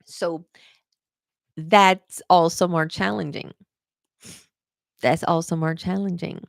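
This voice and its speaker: A woman's voice: on the low side, fairly even intonation, slow, creaky, dry recording, clean studio sound. A young adult woman from Brazil with a Brazilian Portuguese accent, an English teacher chatting through a live-streamed exam prep lesson, repeating key points and calling viewers "guys.".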